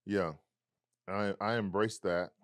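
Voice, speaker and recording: A man with a low, deep baritone speaks in a reflective, storytelling way. He acts out remembered dialogue as he goes. The sound is close and dry, with no room around it. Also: steady tone, takes it slowly, dry recording. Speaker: musician guest. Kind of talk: casual conversation.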